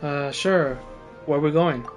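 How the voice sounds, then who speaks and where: Slow, offhand male game streamer. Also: a little high, faint music behind. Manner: slangy, offhand. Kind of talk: casual gameplay commentary with reading aloud.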